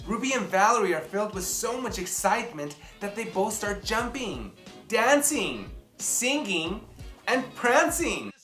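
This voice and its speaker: A woman reading a children's picture book aloud in an expressive, theatrical way, with dramatic pauses and emphasis. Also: mid-range voice. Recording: roomy echo, music behind.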